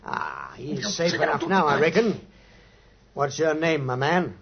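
An actor with an English accent playing a bluff, sceptical, good-natured police inspector with a homely, plain-spoken manner in a 1950s radio drama. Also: male voice, fairly high pitch, wildly swooping pitch, unhurried, gravelly, crowd noise, heavily acted. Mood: bluff, grudging. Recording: dull 1950s radio recording.

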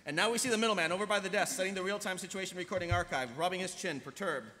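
A man's voice: high voice, racing, bright, forceful tone. A middle-aged man reading stage directions at a live table read in a dramatic, emphatic manner. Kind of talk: animated script narration. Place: large convention hall stage. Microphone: podium microphone. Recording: echoey hall over podium microphone.

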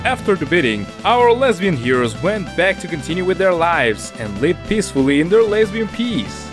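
A man's voice: sits high, sing-song, moderate pace, over music. A man playing a cheeky, mock-solemn narrator, delivering comic scripted narration for a cartoon parody.

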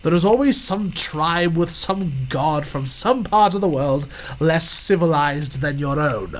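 A man's voice: on the high side, measured pace, lightly acted. An actor playing a pompous, boastful male explorer in the manner of a 1930s radio serial. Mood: condescending. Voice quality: pompous, theatrical.

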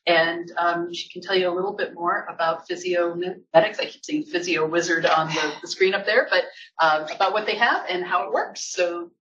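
A man's voice: high-pitched, fairly even intonation, moderate pace, sharp tone. A man hosting an industry association event, talking conversationally with frequent restarts. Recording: dull lo-fi event audio.

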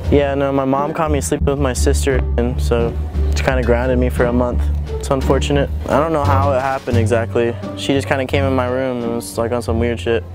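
A man's voice: medium pitch, flat delivery, brisk. Young man talking casually over music. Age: young adult. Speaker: prank video host. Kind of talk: casual prank chat, staged phone calls. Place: large busy retail store.

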